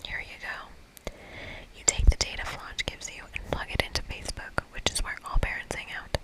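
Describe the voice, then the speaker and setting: An ASMR host whispering as they read a video game aloud, in a breathy, unvoiced whisper. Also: brisk, rough recording.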